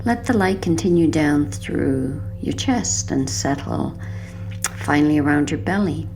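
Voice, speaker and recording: A woman narrating a guided sleep hypnosis in a soothing, hushed and unhurried manner with long pauses. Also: deep voice, animated, creaky, slight background noise, poor audio.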